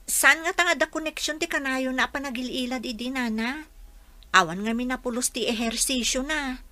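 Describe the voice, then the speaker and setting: A woman's voice: sits high, normal speed, bright, forceful tone. An actress with an Ilocano accent playing a dutiful, worried working woman in a radio drama, explaining things earnestly.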